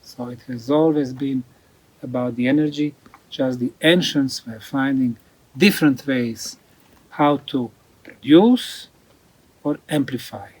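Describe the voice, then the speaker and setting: A man giving an unscripted explanatory talk as a researcher, deliberate and emphatic, repeating key points. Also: mid-range voice, darkish tone, breathy edge, low-quality recording. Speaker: researcher presenting ancient megalithic sites.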